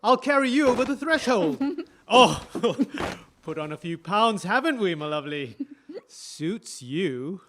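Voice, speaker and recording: Lively, unhurried doting husband. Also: male voice, sits high, noisy background, heavily acted. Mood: playful, tender. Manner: affectionate, playful. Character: doting, teasing young husband in colonial Malaya. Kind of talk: audio drama dialogue.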